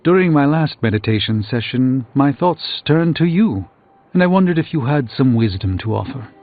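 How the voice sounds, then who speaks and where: Man acting weary proud sorcerer, middle-aged, measured, erudite, dryly wry, video game dialogue, dulled audio, music behind. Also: medium pitch, lively intonation, phone-quality sound, lightly acted. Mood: troubled, reflective.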